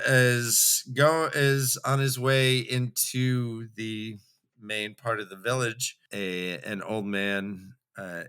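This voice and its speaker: A man co-hosting a book show, retelling a story in a casual, jokey, slangy and animated way. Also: on the low side, clear, resonant tone. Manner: animated and slangy, acting out dialogue in bits. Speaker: co-host of a fantasy book re-read show.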